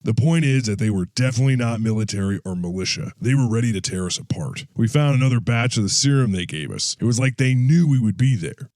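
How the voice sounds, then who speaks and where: Guy doing gruff older war vet for audio drama, blunt talker, middle pitch, dry recording. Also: animated, fast talker, a little mellow, no room sound, close to an everyday voice. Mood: grave, recounting. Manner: blunt, swearing, colloquial. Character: gruff older male war veteran operative.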